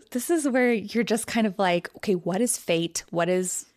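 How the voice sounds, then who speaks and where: A woman's voice: medium pitch, a little slow, a little mellow, no echo, studio quality. A woman hosting a podcast, retelling a memoir in casual chat and quoting its passages aloud. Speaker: podcast host. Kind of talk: casual book discussion.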